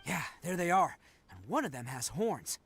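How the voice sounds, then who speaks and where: A man's voice: a little high, sing-song, normal speed, heavy background noise. A man playing a brash, boastful young oni brawler in a video game, with a swaggering, slangy delivery. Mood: confident, eager.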